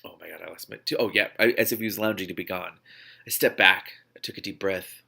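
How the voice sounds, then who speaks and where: A man's voice: low voice, fast talker, creaky, bright, forceful tone, slightly rough. A man reading a novel aloud expressively, often stumbling and breaking off to react. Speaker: book reader, reaction host.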